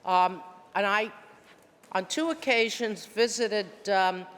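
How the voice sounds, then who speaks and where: A woman senator making informal, halting floor remarks with frequent pauses. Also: mid-range voice, a bit bright. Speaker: state senator. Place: large indoor legislative chamber. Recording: echoey hall with long reverb.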